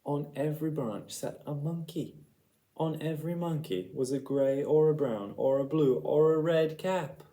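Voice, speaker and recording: A man tells a children's picture story in a mellow, mid-pitched voice. He reads slowly and deliberately, with dramatic expression. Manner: deliberate, dramatic, with repeated phrases. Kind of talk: expressive picture-book read-aloud.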